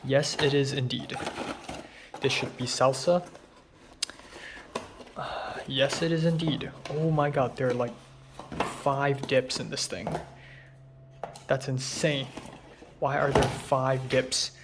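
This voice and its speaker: A young man talks casually to his camera, chatty and unhurried. His voice is a bit raspy after a long phone call, sitting at an average pitch. A faint hiss runs under the recording. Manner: relaxed, chatty, drifting between soft murmurs and louder remarks. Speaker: vlogger. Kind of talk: casual food-tasting vlog chat.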